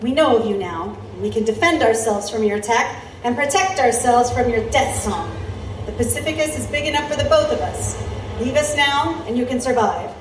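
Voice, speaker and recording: Woman as plucky reporter, high and roomy. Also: moderate pace, recorded from a distance, close to an everyday voice. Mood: defiant. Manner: assured, wry. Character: intrepid, confident female Victorian newspaper reporter. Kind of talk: audio drama acting. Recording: roomy, off-mic, faint noise.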